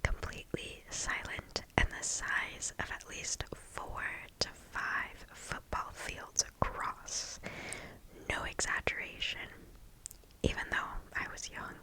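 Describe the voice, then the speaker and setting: ASMR storyteller, female. Hushed whisper throughout, unhurried and deliberate, right up on the mic. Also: poor audio. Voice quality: breathy unvoiced whisper. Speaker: ASMR host reading listener stories. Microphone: close microphone.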